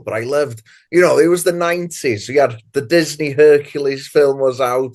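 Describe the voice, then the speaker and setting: North Welsh man in his thirties, anecdotal. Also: medium pitch. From North Wales. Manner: rambling anecdotal storytelling. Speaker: author and guest. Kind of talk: casual podcast interview.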